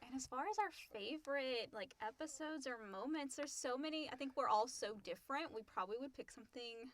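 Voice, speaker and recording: A woman's voice: high voice, brisk. A woman co-hosting a casual podcast Q&A, reading listener questions aloud and chatting about them.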